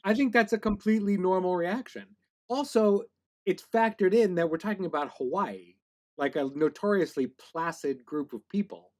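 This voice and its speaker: A man's voice: high-pitched, normal speed, a little mellow. A man hosting a casual podcast interview, conversational and wry, with dry humour.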